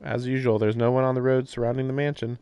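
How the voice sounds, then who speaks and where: A man's voice: mid-range voice, level tone, fast talker, warm tone, clean tone, no room sound. A man streaming a visual novel, reading the text aloud and mixing in casual commentary, with faint noise behind him.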